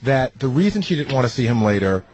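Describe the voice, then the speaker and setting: A man's voice: mid-range voice, very animated, fast, a little mellow, a little hiss or hum. A man on a radio show's staff, casually recounting events in banter. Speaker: radio show staff member.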